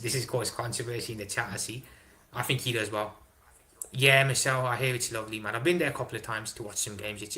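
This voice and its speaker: A young man hosting a casual live stream, reading chat aloud and answering in an excitable way, swearing and dropping slang. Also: fairly low pitch, low-quality recording. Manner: excitable, swears, London slang like innit and big up. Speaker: livestream host reading chat.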